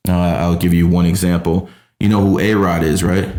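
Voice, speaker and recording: Man, low voice, podcast host, profane heated rants, echoey room. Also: level tone, moderate pace, a little mellow. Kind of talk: casual podcast conversation. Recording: roomy echo.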